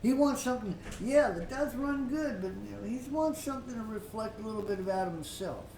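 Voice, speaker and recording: A slightly high-pitched older woman preaches in an informal, storytelling way. She is folksy and halting, often repeating words and pausing as she goes. The recording is roomy and a little distant from the mic, with faint noise. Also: expressive, mellow. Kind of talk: informal Bible teaching with storytelling.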